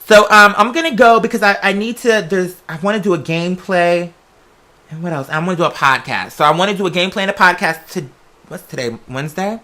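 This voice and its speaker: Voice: medium pitch, fast, pushed and bright. An American video creator chatting casually and rambling, with a sassy, campy, theatrical way of talking full of drag slang. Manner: rambling, digressive. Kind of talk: casual chatty vlog monologue.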